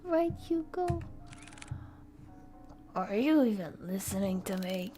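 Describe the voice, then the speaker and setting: A woman's voice: mid-range voice, big pitch swings, slow, dark tone, faint music behind. Woman reading playful, coaxing young male charmer. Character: teasing, caring twenty-seven-year-old male charmer. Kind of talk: visual novel character reading.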